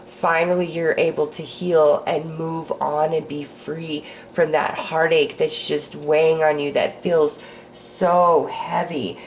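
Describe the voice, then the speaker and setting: Woman, hoarse from a cold, lowish voice, breezy and upbeat, tarot reading, faint hiss behind. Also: little pitch movement, slow pace, rough recording. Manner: breezy and upbeat, with sighs and fillers. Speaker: astrology and tarot reader. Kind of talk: casual spiritual forecast with card pulls.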